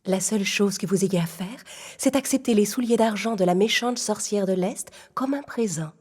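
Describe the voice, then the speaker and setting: A woman voicing a gentle, gracious good witch in a children's cartoon story. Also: mid-range voice, moderate pace, breathy edge, close to an everyday voice. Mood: gently instructive. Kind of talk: children's audio story acting.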